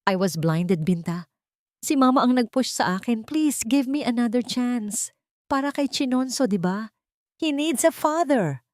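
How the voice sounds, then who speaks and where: Audio drama: remorseful Filipino husband played by a woman, urgent pleading, voice climbing high, dry sound. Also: expressive, measured pace, a little mellow, no echo. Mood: tearful begging. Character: desperate, remorseful husband begging his wife.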